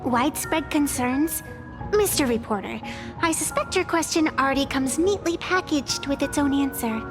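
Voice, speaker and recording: An actress playing a cheeky, sharp-tongued young woman in a video game, teasing and sarcastic. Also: high-pitched, lively intonation. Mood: wry. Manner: teasing, sarcastic, quick to bite back. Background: background music.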